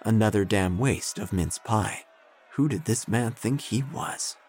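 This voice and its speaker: An actor playing a melodramatic, self-important obituary writer who narrates an audio drama with theatrical pauses. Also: male voice, on the low side, darkish tone, slight background noise, lightly acted. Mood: dry, dramatic. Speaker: narrator and protagonist. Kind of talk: first-person audio drama narration, gothic parody.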